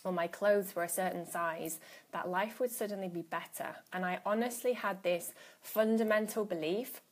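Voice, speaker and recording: A woman's voice: on the low side, moderate pace, husky. A woman in her thirties, a coach talking casually on a live stream and greeting viewers by name as they arrive. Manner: chatty, greets viewers by name, restarts phrases. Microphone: phone or laptop live stream.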